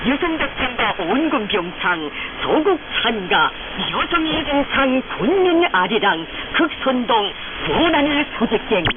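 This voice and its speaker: A woman announcer with a North Korean accent delivers scripted state news with fervent reverence. Her high voice rises and falls in sweeping, declamatory phrases. It comes through a very noisy shortwave broadcast. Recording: noisy shortwave radio, narrow phone-like band.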